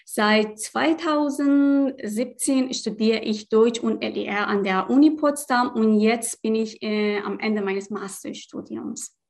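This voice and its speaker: Hesitant young woman, slightly high voice. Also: fairly even intonation, takes it slowly, vocal fry, few pauses, darkish tone. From Iran. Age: young adult. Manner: hesitant, many fillers and restarts in a second language. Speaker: student guest. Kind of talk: casual interview answers.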